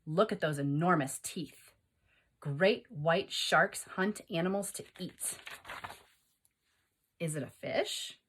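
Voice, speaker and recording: A woman's voice: lowish voice, slow, long pauses, a little hiss or hum. A woman teacher reading aloud and explaining to young children, instructing them with questions and prompts. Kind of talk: expressive read-aloud and lesson for young children.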